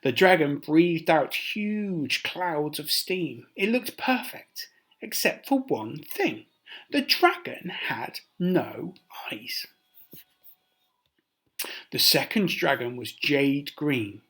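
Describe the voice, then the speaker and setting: A man reads a traditional tale to children like a teacher in class. His delivery is unhurried and expressive, with wide swings in pitch and dramatic emphasis on key words. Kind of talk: children's story read aloud.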